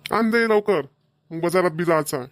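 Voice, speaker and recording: A man's voice: high voice, normal speed, no echo, natural voice. A man voicing a middle-aged village father in a cartoon, grumbling and repeating himself in rural dialect. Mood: impatient. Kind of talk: cartoon voice acting.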